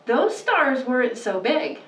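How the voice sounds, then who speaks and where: A woman's voice: high-pitched, very animated, slow pace, fairly bright tone, a little hiss or hum. A woman reading a bedtime story to young children with exaggerated, sing-song emphasis. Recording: roomy, distant microphone.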